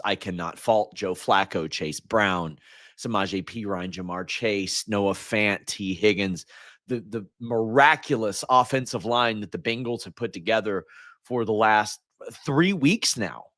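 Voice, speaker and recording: A man hosting a solo sports talk stream, hoarse and frustrated, venting like a fan and often restarting his sentences. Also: on the low side, unhurried, a bit bright. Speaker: host.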